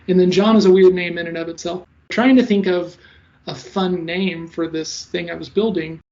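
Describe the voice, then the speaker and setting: A man in his thirties who talks fast and stutters a little, giving casual interview answers as a sales coach. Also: high-pitched, fairly even intonation, darkish tone. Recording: slightly dull lo-fi audio.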